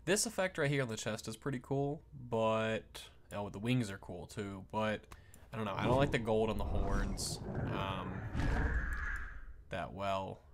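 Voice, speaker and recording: A man's voice: fairly low pitch, measured pace, background noise. A man giving casual, off-the-cuff game commentary as a video host, rambling and thinking aloud.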